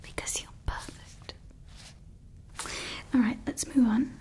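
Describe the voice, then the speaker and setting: A woman's voice: on the high side, steady tone, slow pace, mellow. A young woman playing a haughty female evil scientist in an ASMR roleplay, whispering close to the mic, scolding her staff and fussing over her creation. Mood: chatty, doting. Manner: mostly whispered, scolding and fussy. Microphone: close sensitive ASMR mic.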